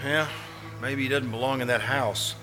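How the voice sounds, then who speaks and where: A man's voice: mid-range voice, fairly even intonation, moderate pace, over music, lightly acted. A man playing an earnest, anxious, nosy neighbour, hesitant but insistent, in a live old-time radio suspense play. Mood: speculating. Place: live performance at a winery.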